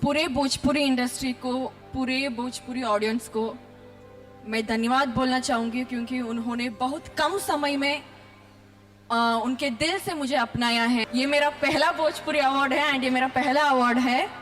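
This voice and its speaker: A woman accepting her first award, thanking the audience in a slow, hesitant way. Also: high-pitched, fairly even intonation, fairly bright tone, soft background music. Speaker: award winner. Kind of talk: acceptance speech.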